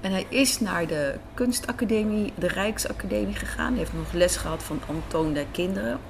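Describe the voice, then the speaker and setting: A woman's voice: mid-range voice, moderate pace, gravelly, slight background noise. A woman telling stories casually as an interview guest. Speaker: interview guest, radio drama writer and director. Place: café in a hotel. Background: faint café ambience.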